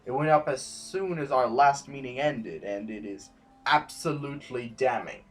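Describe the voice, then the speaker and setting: A man playing a stern, formal sovereign who presides over an assembly in measured oratory, in an audio drama. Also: mid-range voice, faint music behind, lightly acted. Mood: grave, accusing.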